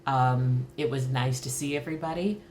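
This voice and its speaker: A woman in her early fifties reflecting candidly on her own life in a thoughtful, halting way, as in a personal interview. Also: very deep voice, a little mellow, a little hiss or hum. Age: early 50s. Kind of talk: reflective personal talk to camera.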